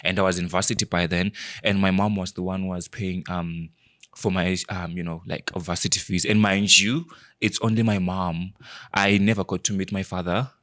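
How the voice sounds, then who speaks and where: A young man from Zimbabwe, a podcast guest, talking in a quiet, shy, soft-spoken way. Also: deep voice, flat delivery, moderate pace. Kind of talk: casual interview conversation.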